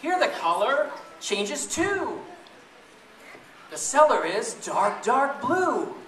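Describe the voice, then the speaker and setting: A man reading a picture book aloud to a group of children in a playful, chatty way, pausing to ask them questions. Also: very high-pitched, big pitch swings, fairly bright tone. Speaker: storybook reader to children. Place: echoey room with an audience of families. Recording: roomy, distant event recording with audience noise.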